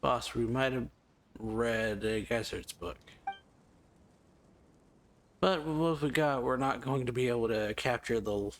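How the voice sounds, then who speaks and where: A man doing a casual let's play, reading game dialogue aloud in a halting, offhand way. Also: mid-range voice, a little hiss or hum. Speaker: let's player. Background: game music.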